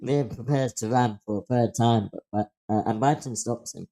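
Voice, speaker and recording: A man's voice: mid-range voice, normal speed, vocal fry, mellow. A man reading stage directions aloud as narrator at an amateur script table read.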